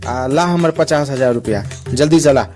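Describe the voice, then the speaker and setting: A man's voice: medium pitch, moderate pace, natural voice. A man voicing a melodramatic, scolding and sarcastic young creditor demanding his money in a comic cartoon. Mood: impatient demanding. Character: blustering, melodramatic young male moneylender friend. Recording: clear music behind the voice.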